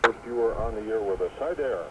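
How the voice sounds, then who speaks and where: A man's voice: on the low side, expressive, fast talker, phone-quality sound. A man hosting a live radio call-in show, talking on a noisy shortwave broadcast taped onto cassette. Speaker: radio talk show host.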